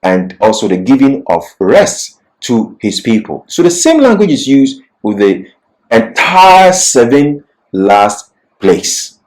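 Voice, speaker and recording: A man presenting an expository Bible lesson as a teacher, speaking in emphatic, deliberate short phrases. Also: mid-range voice, very animated, rough recording.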